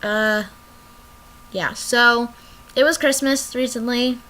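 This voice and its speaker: A woman's voice: fairly high pitch, fairly even intonation, slow, sharp tone. A young woman vlogging casually from her bedroom, rambling and drifting off topic as she chats to the camera. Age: young adult.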